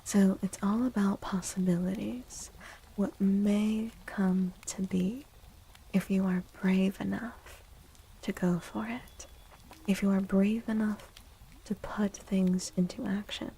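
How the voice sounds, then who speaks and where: A woman streaming soft-spoken ASMR tarot readings in a breathy half-whisper, gentle and encouraging. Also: mid-range voice, fairly even intonation, slow pace, rough recording.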